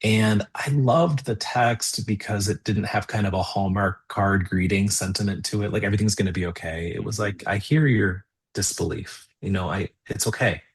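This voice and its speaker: A middle-aged man speaking as an interview guest, thoughtful and easy to laugh, animated when explaining. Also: fairly low pitch, normal speed, few pauses, husky. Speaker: guest, composer and theology professor. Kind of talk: conversational interview.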